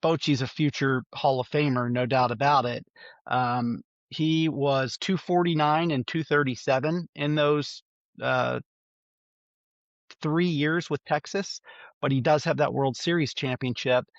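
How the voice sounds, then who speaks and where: A man's voice: medium pitch, steady tone, measured pace, no echo, dull audio. A man with a cold and a stuffy nose, hosting a solo sports preview podcast and reeling off stats with frequent ums and uhs. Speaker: podcast host.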